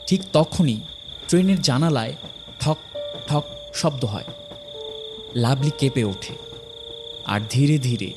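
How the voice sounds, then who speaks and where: Guy reading a scary story, dramatic pauses, mid pitch voice, music n crickets behind. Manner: dramatic, short broken phrases with pauses. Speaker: story narrator. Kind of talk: scripted dramatic horror narration.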